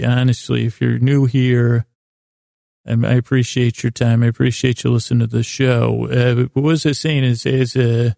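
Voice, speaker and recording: A man with a creaky, gravelly voice hosting a sleep podcast, rambling in a halting, self-deprecating monologue that keeps losing its thread. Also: mid-range voice, mellow, no echo, studio quality. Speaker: podcast host.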